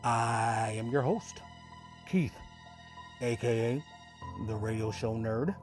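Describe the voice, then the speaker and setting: A man's voice: medium pitch, takes it slowly. A man in his fifties hosting a show, giving a casual scripted introduction. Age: 50s. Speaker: podcast host. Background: music.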